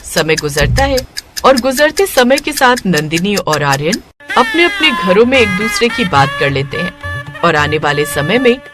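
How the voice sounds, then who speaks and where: A woman narrating a scripted moral story as an expressive storyteller. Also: medium pitch, moderate pace, music in the background.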